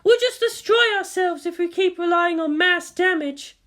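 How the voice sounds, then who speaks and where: Woman plays commanding female officer: fierce, emphatic, high-pitched, storybook drama. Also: moderate pace. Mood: grim. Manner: forceful, emphatic orders.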